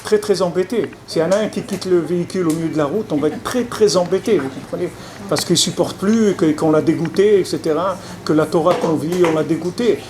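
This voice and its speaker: A man's voice: a little high, fairly quick. A man, a rabbi, giving a conversational public lecture, often repeating and restarting his words and checking that listeners follow. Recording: noisy lecture recording.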